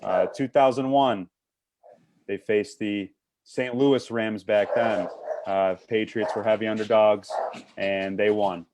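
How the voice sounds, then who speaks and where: A man giving conversational sports commentary as a panelist, measured and methodical with a wry touch. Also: fairly low pitch, steady tone, noisy background.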